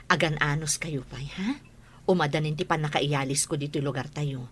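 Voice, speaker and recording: A woman's voice: low voice, normal speed, a bit bright, slight background noise. A woman with an Ilocano Filipino accent playing a tender, encouraging mother in a radio drama. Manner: tender, reassuring. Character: encouraging, patient teacher mother.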